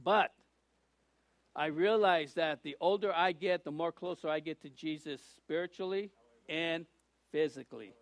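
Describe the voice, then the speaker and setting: A man's voice: on the high side, normal speed, dry recording. A man, giving a loose, folksy sermon full of restarts and small jokes. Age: 60s. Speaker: preacher. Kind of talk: informal sermon.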